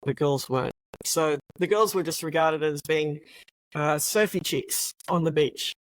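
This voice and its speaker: An Australian woman in her sixties, a retired police officer telling her story as an interview guest in a relaxed, reflective way. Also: sits low, measured pace, rough recording. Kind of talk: interview conversation.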